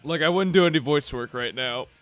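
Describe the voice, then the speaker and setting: A man with a slightly hoarse voice, hosting a casual livestream where he paints miniatures and chats playfully with viewers. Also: on the high side, a little fast, fairly bright tone. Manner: playful, chatty, addresses chat directly. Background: faint background music.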